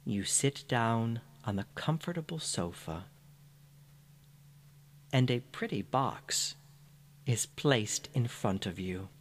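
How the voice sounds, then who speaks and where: A man narrating a scripted guided meditation in a soothing, gentle manner. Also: mid-range voice, expressive, slight background noise. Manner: soothing, unhurried, gentle phrasing.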